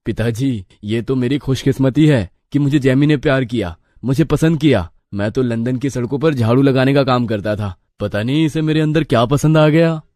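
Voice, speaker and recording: A man voicing plain-spoken, excitable young village men in a moral story. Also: medium pitch, normal speed, few pauses, dry recording, clean studio sound, close to an everyday voice. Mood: subdued, sincere. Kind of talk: animated moral story dialogue.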